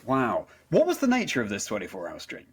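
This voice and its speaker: A man's voice: medium pitch, wildly swooping pitch, fairly quick, breathy edge. A man hosting a conversational interview in an earnest, encouraging and effusive manner. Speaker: interview show host.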